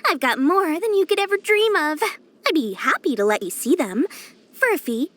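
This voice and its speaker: A woman's voice: high voice, very animated, normal speed, a bit bright. A woman voicing a small, greedy, boastful young female merchant in a video game, with smug, theatrical, wheedling sales patter. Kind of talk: video game character voice lines.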